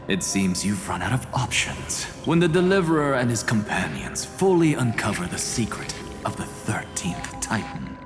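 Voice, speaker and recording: An actor playing a proud, sharp-witted scholar in a video game, theatrical and taunting as he defies an enemy. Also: mid-range voice. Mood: taunting confidence. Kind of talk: dramatic scripted video game acting. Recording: long hall reverb, music behind.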